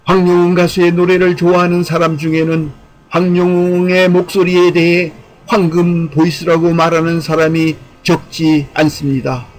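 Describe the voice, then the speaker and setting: A man with a somewhat high voice reads a prepared commentary. His delivery is formal and earnest, like an essay read aloud, with an exhorting manner and the occasional repeated phrase. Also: flat delivery, moderate pace, poor audio. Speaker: online channel host and commentator.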